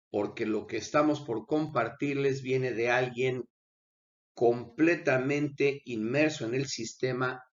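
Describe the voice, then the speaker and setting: An unwell man hosts his channel, talking viewers through a video in a casual commentary voice. He earnestly pleads with his audience at mid pitch. The sound is dull and lo-fi. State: unwell, with coughing fits. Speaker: channel host. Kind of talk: conversational commentary over a video.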